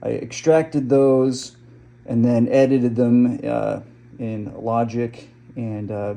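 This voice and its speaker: Dark, creaky-voiced casual man. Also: mid-range voice. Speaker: presenter demonstrating a restored tape player. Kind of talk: casual explanatory chat.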